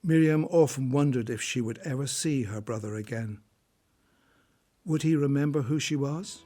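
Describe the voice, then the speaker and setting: Male drama narrator, middle register, solemn, measured scripted storytelling. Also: medium pitch, warm tone.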